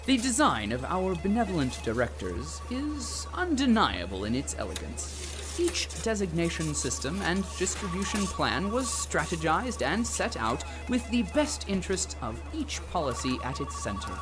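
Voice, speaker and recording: A pompous male announcer narrates with sweeping, animated pitch over clear music. His voice sits a little high, and he delivers grandiose company propaganda with dramatic solemnity. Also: normal speed. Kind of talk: scripted narration, dystopian comedy audio drama.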